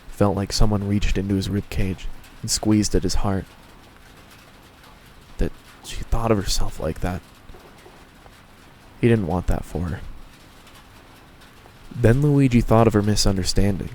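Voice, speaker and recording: A man's voice: fairly low pitch, measured pace, long pauses, darkish tone, slight background noise, rough recording. A man reading scripted fan fiction narration as the storyteller.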